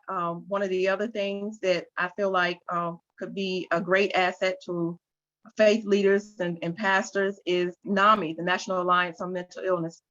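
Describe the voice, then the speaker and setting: A woman's voice: mid-range voice, a bit bright, dry recording. A woman speaking candidly and steadily as a panelist in an online talk. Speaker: webinar panelist, program facilitator. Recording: dull lo-fi, dry sound.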